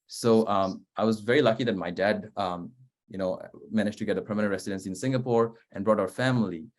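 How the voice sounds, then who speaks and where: A man's voice: fairly low pitch, fairly quick. A young man, a startup founder, answering on a webinar panel in an earnest way with many hesitations. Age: young adult. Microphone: video call.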